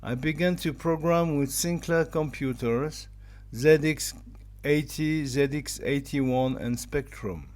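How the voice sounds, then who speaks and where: Slow-speaking French-accented man in his fifties. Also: medium pitch, a little mellow. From France. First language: German. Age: 50s. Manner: slow, simple sentences in a second language. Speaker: digital artist. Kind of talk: personal pitch, read aloud.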